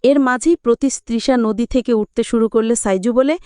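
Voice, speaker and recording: Female movie-recap narrator, smooth and resonant, dry studio sound, dramatic scripted storytelling. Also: a little high, moderate pace, warm tone, no room sound.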